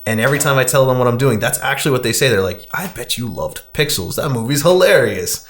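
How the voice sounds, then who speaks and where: A man's voice: mid-range voice, expressive, quick pace, fairly bright tone, slight background noise. A man co-hosting a podcast in casual, opinionated film discussion, talking conversationally. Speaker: podcast host.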